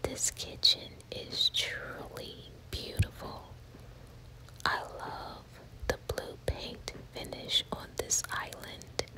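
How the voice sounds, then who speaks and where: A woman whispering softly and slowly as she walks through a house, admiring each room in a gentle ASMR style. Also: low-quality recording. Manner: soft unvoiced whisper, slow and admiring. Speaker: ASMR house tour host. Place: inside an empty house, walking through rooms. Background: rain sounds.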